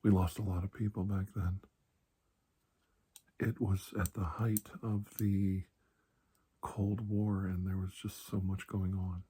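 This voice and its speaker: Man, ASMR roleplay as a kindly doctor, mellow dark tone, very husky and whispery, gentle, soothing, unhurried. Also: sits low, little pitch movement. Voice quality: breathy, hushed. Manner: gentle, reassuring, unhurried with pauses. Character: calm, kindly male doctor soothing a frightened patient. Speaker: ASMR performer playing a doctor.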